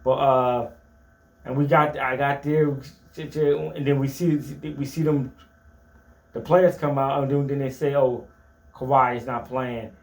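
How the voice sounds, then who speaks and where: A man co-hosting a sports talk show, stumbling and restarting as he argues his fan opinions. His voice sits in an ordinary middle pitch. The room gives it a noticeable echo. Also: fairly even intonation, a little slow. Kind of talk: casual sports chat.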